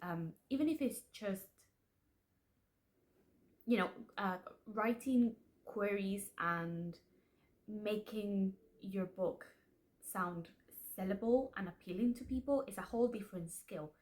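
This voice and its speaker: A woman's voice: medium pitch, takes it slowly. A young woman whose first language is Spanish chats openly to her camera about her writing hopes. She talks in a loose, self-deprecating way, restarting phrases as she goes. Her voice sits in an ordinary middle range. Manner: chatty, self-deprecating, restarts and laughs often. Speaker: aspiring author and channel host. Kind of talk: casual confessional vlog.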